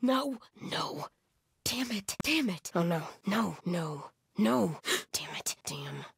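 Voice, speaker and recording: An actress playing a zealous, hostile cult warrior in a video game, delivering terse, intense lines in short phrases. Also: female voice, mid-range voice, lively intonation, slow pace, vocal fry, slightly rough, no room sound, clean studio sound, full character voice. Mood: dismayed. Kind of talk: combat barks and effort lines for an action game.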